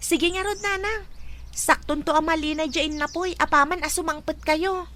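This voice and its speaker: An actress playing a concerned, inquisitive family member in a household radio drama. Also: female voice, high-pitched, fairly even intonation, moderate pace, bright, forceful tone. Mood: familiar. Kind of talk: Ilocano radio drama acting.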